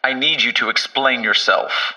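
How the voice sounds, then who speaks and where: A man's voice: medium pitch, moderate pace, sharp tone, recorded from a distance, rough recording. A man playing a stern, accusing doctor who interrogates a contained creature in an acted drama. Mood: stern, official.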